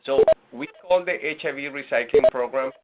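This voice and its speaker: A man from Venezuela, a nonprofit director, presenting a webinar with halting restarts and fillers. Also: mid-range voice, heavy background noise. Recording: lo-fi webinar audio.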